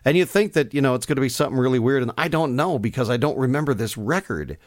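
A man's voice: mid-range voice, animated, fast, dry recording, clean studio sound. A man hosting an oldies radio show, chatting casually and wryly between records, teasing his listeners with a few fillers along the way.

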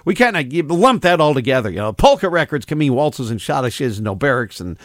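A man's voice: mid-range voice, sing-song, a little fast, dry recording, clean studio sound. A man hosting an old-time polka radio show, chatting casually and folksily with fillers and restarts as he introduces records. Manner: folksy, rambling, with fillers and self-corrections. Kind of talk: casual radio disc jockey chat.

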